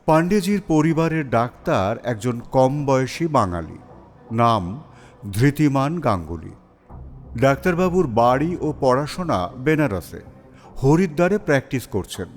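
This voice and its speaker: A man's voice: mid-range voice, big pitch swings, unhurried, soft background music. A man narrating a scripted story as its storyteller.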